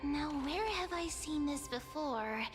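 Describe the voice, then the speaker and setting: A sweet young girl adventurer with a very high, swooping voice. She sounds earnest and hesitant, soft-hearted, like an anime game heroine. Music plays behind her. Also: lively intonation, takes it slowly. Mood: cautious, curious. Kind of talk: anime-style game voice acting.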